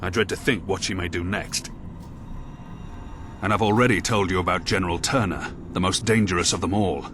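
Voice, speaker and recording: An actor playing a grim, dutiful military commander in a video game, speaking in an earnest, formal, authoritative way. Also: male voice, sits low, fairly quick, noisy background, natural voice. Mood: grave, explaining. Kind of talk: video game cutscene acting.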